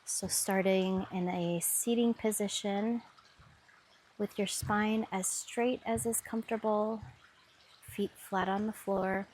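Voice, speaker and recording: Slow soothing woman guiding meditation. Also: medium pitch, steady tone, slight background noise, low-quality recording. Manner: slow, soft, soothing instructions. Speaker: meditation guide. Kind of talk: guided meditation.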